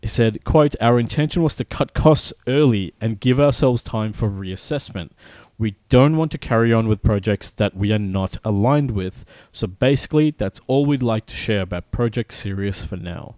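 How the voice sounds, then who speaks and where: A man co-hosting a gaming news podcast, reading out stories in a casual, halting way with many fillers and restarts. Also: lowish voice, a little mellow, dry recording. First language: not Polish. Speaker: podcast co-host.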